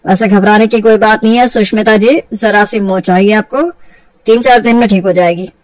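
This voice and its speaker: A woman's voice: mid-range voice, steady tone, moderate pace, no room sound, pitched below the performer's own voice, close to an everyday voice. A male family doctor in an audio storybook , played by a woman, explaining in a matter-of-fact, reassuring way. The sound is dry and a little distant from the microphone. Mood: calm, explaining. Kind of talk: acted Hindi audio storybook.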